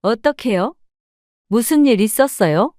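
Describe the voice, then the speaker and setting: A woman voicing a kindly neighbor woman who speaks with warm, expressive sympathy. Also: a little high, measured pace, no echo, studio quality. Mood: curious.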